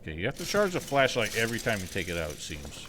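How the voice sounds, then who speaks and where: A man's voice: fairly low pitch, sing-song, fast talker, noisy background. A man giving casual, wry and sceptical running commentary on a video game livestream.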